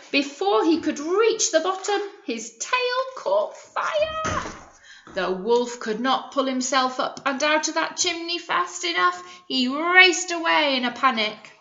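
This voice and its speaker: A woman's voice: sits high, pushed and bright, slight background noise. A woman, a teacher reading a storybook expressively to young children and prompting them with simple questions. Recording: echoey room, slightly muffled.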